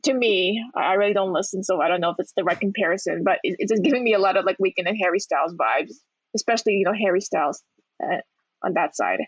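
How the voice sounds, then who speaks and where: A woman's voice: mid-range voice, fast talker, breathy edge, no room sound. Hesitant woman co-host, dull sound. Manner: hesitant, many "you know" fillers. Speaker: podcast co-host. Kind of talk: casual music review chat.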